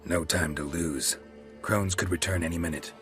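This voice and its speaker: A man playing a stoic, laconic monster hunter in a video game, with a low gruff voice and a terse, deadpan delivery. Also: moderate pace, over music, lightly acted. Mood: dry, guarded. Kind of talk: video game cutscene acting.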